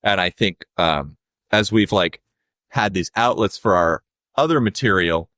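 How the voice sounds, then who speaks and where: A young adult man with a low voice, a musician guest talking casually and reflectively in an interview, with many fillers. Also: a little slow, sharp tone, dry recording, studio quality.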